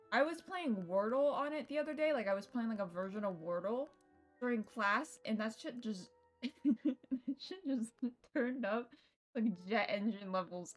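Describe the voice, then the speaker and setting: Young woman streamer chatting, music behind. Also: mid-range voice. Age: young adult. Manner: informal, sweary. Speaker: livestreamer. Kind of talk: casual chat to stream viewers while setting up a game. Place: indoors at home computer setup. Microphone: streaming mic with noise gate.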